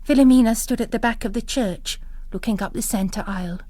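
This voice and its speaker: A woman's voice: on the high side, a little fast, mellow, dry recording. A woman narrating a novel as a scripted literary reading.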